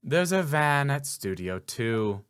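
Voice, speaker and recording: A man's voice: medium pitch, very animated, slow pace, a bit bright, smooth. Man acting curt, irritable courtroom witness. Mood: curt, weary.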